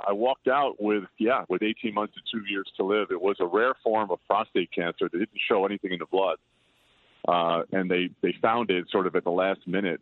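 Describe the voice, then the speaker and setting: An older man chatting as an interview guest, loud-voiced, rambling and self-deprecating. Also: sits low, no room sound. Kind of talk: casual interview chat. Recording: tinny dry phone line.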